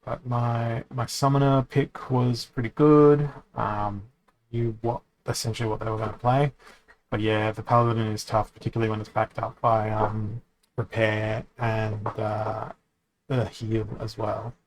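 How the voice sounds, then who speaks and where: A man streaming a card game, thinking aloud as he plays, often correcting himself and pausing to hesitate. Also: fairly low pitch, level tone, a little hiss or hum, rough recording. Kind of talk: casual commentary while playing a card game.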